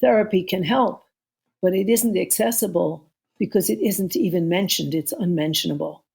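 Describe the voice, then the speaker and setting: Woman cohost, raspy gravelly voice, calm explaining tone. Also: on the low side, normal speed. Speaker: podcast co-host. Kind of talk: conversational podcast discussion.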